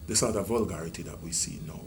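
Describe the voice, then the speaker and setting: Retiring police officer, Jamaican man in his sixties, deep voice, unhurried storytelling, noisy room. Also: creaky. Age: 60s. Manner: measured, reminiscing, with many ums and restarts. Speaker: retiring police deputy commissioner. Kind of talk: reflective interview storytelling.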